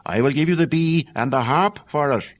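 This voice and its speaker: A man's voice: on the high side, moderate pace, close to an everyday voice. A man with an Irish accent voicing a sly little magical man in a read-aloud fairy tale, coaxing and bargaining.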